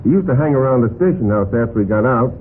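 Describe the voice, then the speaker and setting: A man's voice: medium pitch, animated, very fast, close to an everyday voice. An actor playing an earnest, forthright and slightly defensive city fireman in an old-time radio crime drama. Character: earnest male city fireman under police questioning. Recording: tinny, noisy vintage radio recording.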